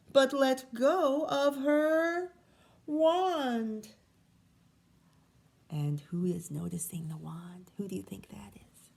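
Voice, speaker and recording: Slow, animated woman reading to children. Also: on the high side. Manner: explanatory, questioning. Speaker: teacher reading aloud. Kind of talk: expressive children's read-aloud with asides.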